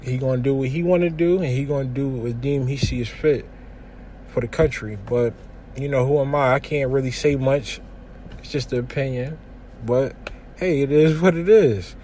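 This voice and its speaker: A young man from hosting a casual solo podcast from his kitchen, giving news commentary in a relaxed, slangy way with lots of shout-outs. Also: mid-range voice, normal speed, a little hiss or hum, low-quality recording. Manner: laid-back slangy talk with frequent shout-outs. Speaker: podcast host.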